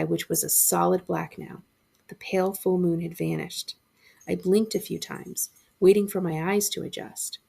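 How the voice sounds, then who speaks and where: A woman librarian reading a spooky story aloud with expressive narration. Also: on the low side, gravelly, husky.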